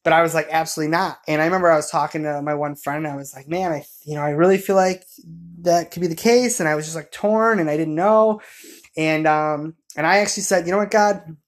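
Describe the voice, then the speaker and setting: A man telling a personal story in casual conversation, in a rambling, halting way, often correcting himself as he goes. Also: on the high side.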